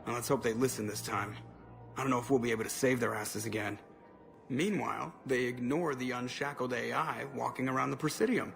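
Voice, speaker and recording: A man's voice: mid-range voice, steady tone, a little fast, vocal fry, a bit bright. A man voicing a wisecracking, sardonic starship crewman in a video game, joking and sarcastic in delivery. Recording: music under the dialogue.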